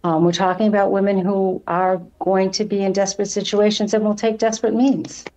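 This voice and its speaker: A woman's voice: mid-range voice, level tone, brisk, dark tone. A woman in her seventies speaking as a guest on a live panel from her home over a video call, earnest and hesitant. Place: home room. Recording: dull lo-fi video call audio.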